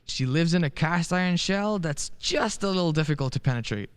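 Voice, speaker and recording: Actor as young lawyer guy in a radio play, very animated pitch, breezy, wry, friendly. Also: a little fast, clear, resonant tone, lightly acted. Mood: wry, amused. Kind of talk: stage-style radio play acting.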